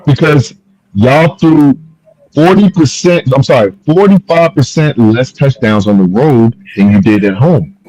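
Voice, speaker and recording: A man's voice: medium pitch, animated, unhurried, a little mellow, no room sound, rough recording. Pushy man hosting a sports talk show. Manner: pushy, needling, repeats his points. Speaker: podcast host. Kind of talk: casual sports debate.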